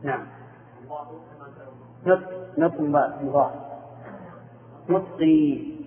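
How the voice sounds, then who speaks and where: A man's voice: on the high side, drawn-out words. A man teaching Arabic grammar, quizzing his students and confirming their answers with short replies. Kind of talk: classroom questioning of students. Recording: echoey room over a tinny phone-like line.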